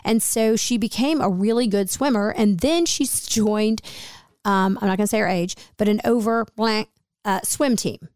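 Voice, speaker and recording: A raspy-voiced woman in her forties, co-hosting a podcast in a chatty, rambling way full of fillers. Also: mid-range voice, normal speed, darkish tone, no echo, clean studio sound. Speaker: podcast co-host. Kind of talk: casual podcast chat.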